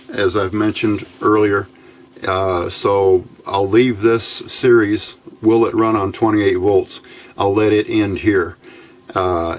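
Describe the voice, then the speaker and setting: An American man giving an informal technical tutorial as a hobbyist host, plainspoken and a little hesitant. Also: fairly low pitch.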